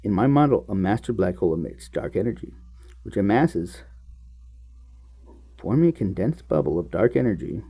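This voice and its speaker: A man reading a book aloud as host, in an expressive, musing manner with offhand asides. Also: on the low side, normal speed, long pauses, husky.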